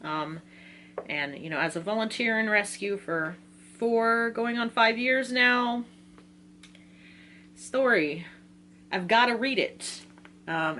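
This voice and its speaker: An American woman chats informally as a book vlogger, in a medium-pitched voice. She is excitable and rambles into slangy asides. There is some noise and hum behind her. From Virginia, United States. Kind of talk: casual chatty video talk.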